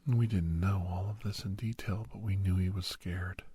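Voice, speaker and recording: A man playing a grave doctor in an ASMR roleplay, telling a haunting memory in a hushed, halting, breathy voice that often drops to a whisper. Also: deep voice, fairly even intonation, no echo. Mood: somber. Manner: halting, hushed, dramatic delivery. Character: grave male doctor recounting a frightening memory to youngster.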